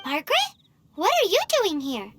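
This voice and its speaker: A woman voicing a small, cheeky, childlike game companion with a squeaky voice who speaks in third person. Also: sing-song, fairly quick, music in the background. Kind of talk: video game character dialogue.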